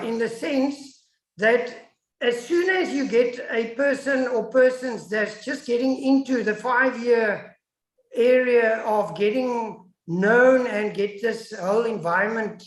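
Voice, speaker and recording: A South African woman whose first language is Afrikaans, giving an emphatic webinar lecture over an online call as a guest academic. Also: fairly high pitch. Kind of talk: webinar lecture with audience questions. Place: indoors, online video call. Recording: echoey room on online call.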